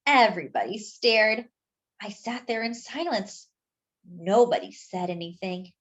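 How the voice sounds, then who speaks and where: A woman reading a children's picture book aloud, pausing to point out details in the pictures. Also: mid-range voice, a bit bright, lo-fi sound. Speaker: children's storyteller.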